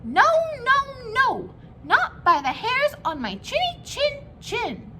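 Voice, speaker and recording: A woman's voice: very high-pitched, huge pitch swings, takes it slowly, pushed and bright, slight background noise, voice pushed up, heavily acted. A woman voicing a frightened but defiant little storybook pig, squealing emphatic refusals. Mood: frightened defiance.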